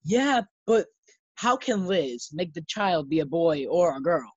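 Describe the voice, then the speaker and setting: A man playing a sensible friend with a husky voice and dry, sarcastic delivery in an old-time radio sitcom style. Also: fairly high pitch, big pitch swings, measured pace, dry recording. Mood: dry, teasing. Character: dry, sensible male friend needling an overexcited pal. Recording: slightly dull, muffled sound.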